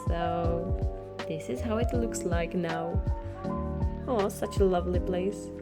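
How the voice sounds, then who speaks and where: Soft-spoken Czech woman over music. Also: fairly low pitch, takes it slowly. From Czech Republic. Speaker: artist vlogger. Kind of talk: soft-spoken art vlog narration.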